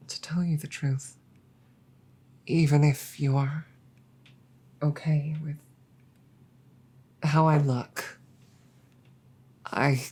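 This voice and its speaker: Lady playing a shy sorry dragon gf, super slow n halting, dark mellow soft voice, roleplay audio. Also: sits low, husky, full character voice. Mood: hesitant, subdued. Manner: hesitant, halting, tender. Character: apologetic, self-blaming adult female dragon girlfriend.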